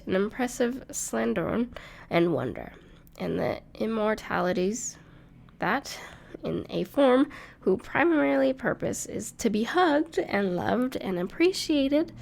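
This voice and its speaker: A woman playing a gentle, bashful dragon in human form who loves cuddly things, speaking tenderly and reassuringly in an intimate audio roleplay. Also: a little high, gravelly, exaggerated voice. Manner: tender, reassuring, playful, slightly hesitant. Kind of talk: soft-spoken intimate audio roleplay to the listener.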